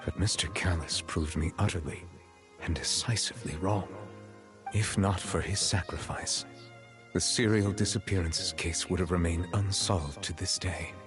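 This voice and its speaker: A man playing a solemn, reserved chief justice in a video game, speaking in a formal, measured, grave manner. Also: low-pitched, over music, lightly acted. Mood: solemn reflection.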